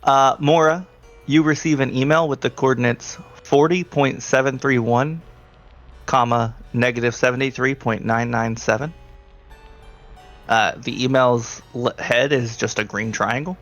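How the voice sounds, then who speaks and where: A man's voice: mid-range voice, fairly bright tone. A man running a tabletop roleplaying game as game master, narrating casually and putting questions to players.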